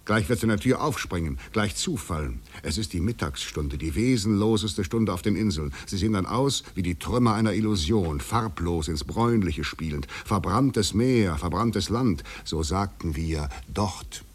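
A man's voice: sits low, expressive, normal speed, few pauses, husky. An actor in a 1950s literary radio drama playing a taunting, eloquent male fugitive in a poetic, ironic manner. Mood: brooding, resigned.

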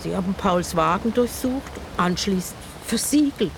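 A woman's voice: medium pitch, animated, moderate pace, vocal fry, background noise. An actress in a radio drama playing a talkative old river boatwoman with a wry, chatty, teasing manner. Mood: indignant. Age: older.

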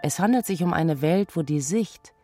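A woman performing a pensive speaker in a poetic radio play, husky and breathy, with a hushed, measured delivery. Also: low-pitched, expressive, music in the background.

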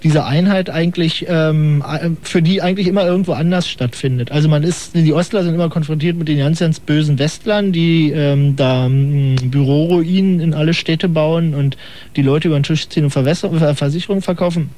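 A man's voice: fairly high pitch, fairly even intonation, fairly quick, mellow. A man hosting a radio call-in show, asking wordy, ironic questions in casual chat.

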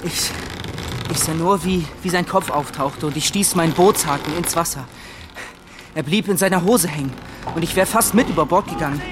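Actor as high-voiced boyish young sailor, boasting. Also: male voice, normal speed, noisy background, lightly acted. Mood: boastful, excited. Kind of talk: German radio drama acting.

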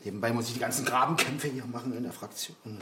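An actor playing a stressed, evasive politician who pleads haltingly and apologetically in a naturalistic radio drama. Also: male voice, mid-range voice, lightly acted. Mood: flustered, apologetic. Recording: roomy with clear background noise.

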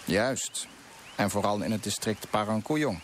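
An actor playing an eager, courteous colonial official in a radio drama, speaking formally and inquisitively. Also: male voice, fairly low pitch, unhurried, lightly acted. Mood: eager, probing. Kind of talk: Dutch radio drama acting. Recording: noisy old radio play recording.

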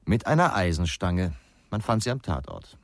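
Deep-voiced, blunt ex-cop. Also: male voice, big pitch swings, normal speed, dry recording, studio quality. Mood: dry, probing. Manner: terse, needling questioning. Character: gruff, blunt male ex-policeman investigator, provoking and dismissive. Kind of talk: German radio crime drama acting.

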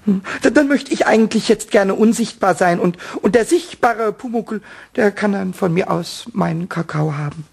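A cheeky little male kobold in a radio play, squeaky and a bit breathy. He stammers and frets like a naughty child caught out, then wheedles. The voice sits high and husky. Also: moderate pace, heavily acted. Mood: timid, evasive.

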